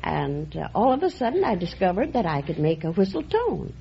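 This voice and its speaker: A woman's voice: lowish voice, huge pitch swings, brisk, gravelly, warm tone. A woman who teaches whistling, answering a quiz host's questions plainly and with some hesitation. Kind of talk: game show interview. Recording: dull old radio broadcast with heavy hiss.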